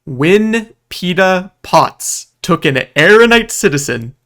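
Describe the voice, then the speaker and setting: A man's voice: sits high, lively intonation, slow pace, a bit bright. A man reading scripted recap narration in a storytelling style, with dramatic delivery and wry asides. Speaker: video narrator recapping a roleplay game event.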